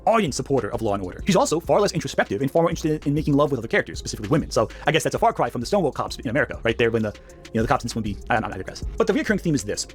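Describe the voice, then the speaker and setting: A man delivers an analytical video essay at a very rapid clip, with music underneath. He is emphatic and scripted, and his pitch moves around in a lively way. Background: background music.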